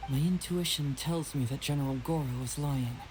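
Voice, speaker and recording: A man voicing a young, confident, shrewd detective in a video game, with a casual, smooth, teasing manner. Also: fairly high pitch, moderate pace, background noise, natural voice. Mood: sly, probing. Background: game music.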